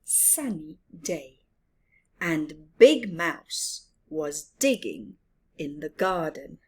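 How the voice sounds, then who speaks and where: Slow, animated woman reading a storybook. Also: deep voice, breathy edge. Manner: chatty asides to young listeners. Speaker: storytime host. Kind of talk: expressive read-aloud for young children.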